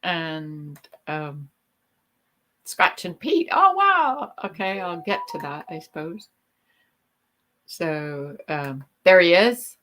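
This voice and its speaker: A middle-aged woman with a fairly low voice chats on a livestream. She rambles through her stories, pausing often on ums and restarts. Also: sing-song, sharp tone. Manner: chatty, rambling storyteller with many ums. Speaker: livestream host. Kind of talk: casual chat on a livestream.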